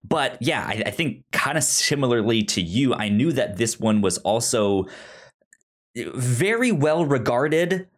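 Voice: medium pitch, lively intonation, unhurried. A podcast co-host chatting casually about films, often stammering and repeating words in a hesitant way. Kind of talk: casual film discussion.

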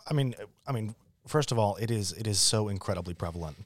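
A man of twenty-two giving considered, halting answers as a podcast guest. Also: lowish voice, lively intonation, dry recording, studio quality. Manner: halting, hesitant phrasing with many pauses. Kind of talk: thoughtful casual discussion.

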